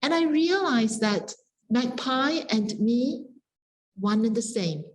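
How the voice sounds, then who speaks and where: A woman speaking as a scholar on a panel, sharing poetic reflections in a contemplative, measured and earnest manner. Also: fairly high pitch, expressive.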